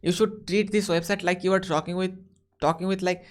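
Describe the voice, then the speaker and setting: A man's voice: high-pitched, steady tone, fairly quick. A young man with an Indian accent, chatting casually and hesitantly, often repeating words as he hosts an online video chat. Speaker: host.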